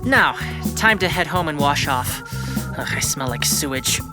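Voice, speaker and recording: A woman's voice: low-pitched, animated, measured pace. A woman playing a schoolboy detective, dramatic and exasperated, in a scripted audio drama with music behind. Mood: dramatic, self-important. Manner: melodramatic, self-important narrator. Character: schoolboy detective, dramatic, exasperated son narrating his case.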